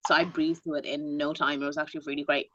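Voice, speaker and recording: A woman chats about a book in a fairly low voice, acting out little reactions as she talks. The audio is dull and lo-fi. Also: level tone, fast talker, sharp tone. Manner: chatty, acts out reactions in quoted asides. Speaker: book club panelist. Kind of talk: casual discussion.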